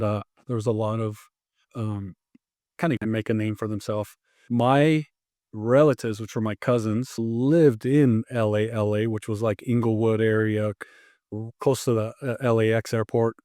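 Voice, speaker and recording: A man's voice: fairly low pitch, a little mellow, dry recording, clean studio sound. A man in his fifties, born in Tonga and raised in Los Angeles, telling stories as a martial arts instructor in a casual interview, rambling with many restarts and fillers.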